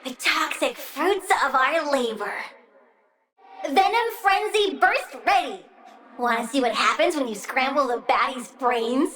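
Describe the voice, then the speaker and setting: A woman's voice: high-pitched, animated, unhurried, a bit bright, distant mic. A woman voicing a bratty, childlike plant-girl villain in a video game, petulant and eager, with vicious relish. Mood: gleeful, menacing. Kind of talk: video game character voice lines.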